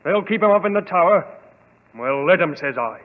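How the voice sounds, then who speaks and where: Surly rustic English villager. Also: male voice, high-pitched, lively intonation, moderate pace. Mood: bitterly defiant. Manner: blunt, grumbling. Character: aggrieved, surly male English villager, stubbornly grasping. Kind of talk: old-time radio drama acting. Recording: muffled, thin old radio recording.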